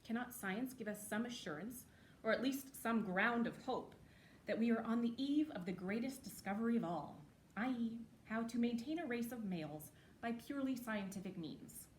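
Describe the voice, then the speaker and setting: A woman's voice: a little high, animated, normal speed, a little hiss or hum, rough recording. A woman reading a formal letter aloud as a dramatic performance, arch and emphatic with mock earnestness. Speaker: actor reading historical letters.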